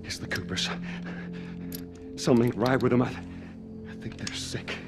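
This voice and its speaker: A man playing a weary, protective middle-aged father with a gruff Southern American accent in a video game. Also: medium pitch, a little slow, music in the background, lightly acted. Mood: tense, urgent. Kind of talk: naturalistic video game acting.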